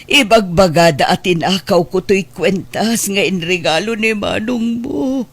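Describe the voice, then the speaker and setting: A middle-aged Ilocano mother voiced by an actress with a husky voice of ordinary pitch. She sounds wronged and aggrieved, in radio drama style. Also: lively intonation, a little slow. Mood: hurt, plaintive. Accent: Filipino, Ilocano.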